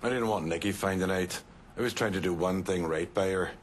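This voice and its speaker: An actor playing a profane, embittered middle-aged Irish mobster in a video game cutscene, blunt and coarse. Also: male voice, sits low, fairly quick, slight background noise, lightly acted. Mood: bitter, remorseful. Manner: blunt, coarse, swearing freely. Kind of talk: dramatic game cutscene acting. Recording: music behind the voice.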